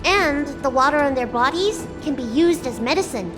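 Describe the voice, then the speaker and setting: A small, spirited girl, with a high, youthful voice. She talks earnestly and directly in fantasy game style, and music plays clearly behind her. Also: expressive, moderate pace. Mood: earnest, disapproving. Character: spirited, forthright young girl, small and protective. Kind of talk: video game voice acting.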